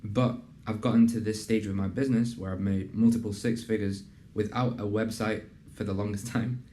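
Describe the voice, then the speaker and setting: A young man hosting a casual interview and pitching his program in an earnest, conversational way, with plenty of fillers. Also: steady tone, normal speed, dark tone, slight background noise. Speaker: host and program founder. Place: in a building in a city business district.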